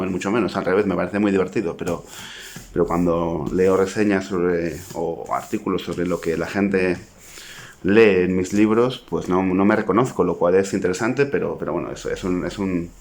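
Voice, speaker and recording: Spanish guy in his 40s, really deep voice, modest author thinking out loud about his book. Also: moderate pace, a little hiss or hum. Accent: Spanish (Spain). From Spain (Zaragoza). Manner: reflective, modest, thinking aloud. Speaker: poet and author. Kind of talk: informal talk to camera about his book.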